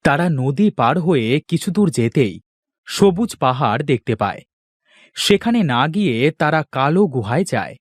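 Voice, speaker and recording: Animated male storyteller, fairly high voice. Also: unhurried, slightly rough, no echo, studio quality. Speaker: storyteller, narrator. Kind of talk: scripted fairy-tale narration for a cartoon.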